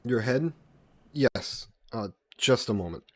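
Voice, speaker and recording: A man playing a gentle, guilt-burdened vampire caretaker in an intimate audio roleplay, speaking politely and formally with some hesitation. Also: mid-range voice, expressive, slow. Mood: attentive, caring. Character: gentle, guilt-burdened male vampire employer caring for a guest.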